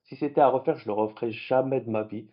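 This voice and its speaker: A man whose first languages are French and Arabic, hosting a late-night trading vlog in a lively, conversational way. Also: mid-range voice, quick pace, highs cut off. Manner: animated, conversational, with restarts. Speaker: trading channel host.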